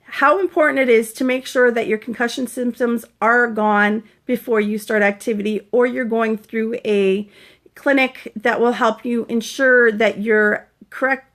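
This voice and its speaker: A chatty, earnest woman in her forties from Canada, with a voice sitting a little high. She talks casually to her viewers as a fitness coach, now and then breaking off to greet people brightly. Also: fairly even intonation, unhurried. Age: 40s. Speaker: fitness coach sharing concussion recovery. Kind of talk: casual live-stream vlog, greeting viewers.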